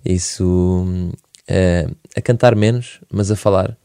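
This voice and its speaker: Low, flat-voiced Portuguese man. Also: slow, vocal fry, smooth, no echo, studio quality. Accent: European Portuguese. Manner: relaxed, hesitant, many restarts. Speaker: singer guest. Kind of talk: casual radio interview.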